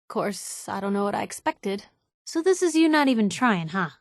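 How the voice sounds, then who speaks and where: A woman's voice: medium pitch, big pitch swings, normal speed, no room sound, studio quality. A woman playing a feisty, guarded young reporter, wry and loyal, in naturalistic video game dialogue with a sardonic, emphatic manner. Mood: hurt, disappointed. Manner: sardonic, emphatic, hesitant when vulnerable. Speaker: video game companion character.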